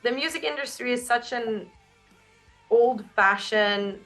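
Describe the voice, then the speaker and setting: A woman singer with a naturally raspy voice, answering interview questions in a loose, candid way, full of "like" and "you know". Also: a little high, slow pace, a bit bright, soft background music, low-quality recording. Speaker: band singer.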